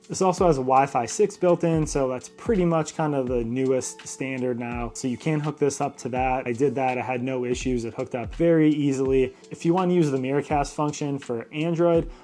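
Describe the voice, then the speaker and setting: A man's voice: medium pitch, mellow. A man giving a casual, informal product review, explaining features step by step as a tech reviewer.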